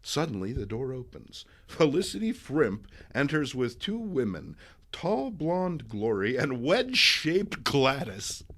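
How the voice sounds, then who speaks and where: Male comic book narrator; bright, measured storytelling with wry commentary. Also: mid-range voice.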